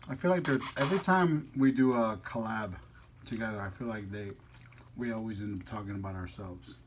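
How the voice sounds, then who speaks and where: A man's voice: on the low side, brisk, a little hiss or hum. A man chatting casually and playfully in a food vlog, rambling a little as he talks while eating. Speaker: food vlogger. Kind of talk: casual mukbang chat.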